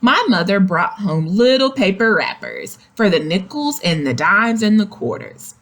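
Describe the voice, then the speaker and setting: A woman reads a picture book aloud to young children at an unhurried pace. Her pitch moves up and down in a lively, expressive way, and she addresses the listeners directly in an explaining manner. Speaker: children's story reader.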